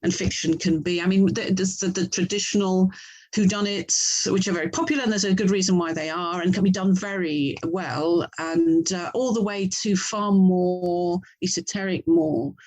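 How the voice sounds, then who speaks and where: A woman's voice: fairly low pitch, fairly even intonation, moderate pace, darkish tone, poor audio. A middle-aged woman with an English accent talking casually as an interview guest, thoughtful and chatty. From England. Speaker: writer and audio drama producer, interview guest.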